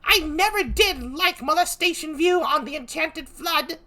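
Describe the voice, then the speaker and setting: A woman acting an excitable gentleman in a theatrical read-aloud of a Shakespeare play, exclaiming and declaiming. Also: high-pitched, measured pace. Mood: excited, awed. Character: excitable high-voiced gentleman watching a stormy sea.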